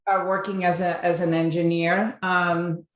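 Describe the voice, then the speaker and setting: Chatty Belgian-born woman, low voice. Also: flat delivery, measured pace, echoey room. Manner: chatty storyteller with frequent fillers and restarts. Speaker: guest, marketing executive. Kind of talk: casual interview talk.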